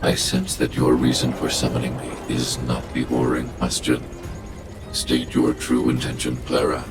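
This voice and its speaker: A man's voice: rumbling low, monotone, moderate pace, music in the background. A man voicing a stern, coldly logical guardian robot in a video game, formal and emotionless. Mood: cold, detached. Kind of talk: video game character acting.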